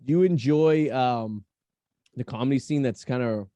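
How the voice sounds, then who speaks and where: A man's voice: mid-range voice, moderate pace, mellow, no room sound. A man hosting a podcast, chatting casually in a blunt, rambling way and often repeating his phrases. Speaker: podcast host. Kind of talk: casual chat.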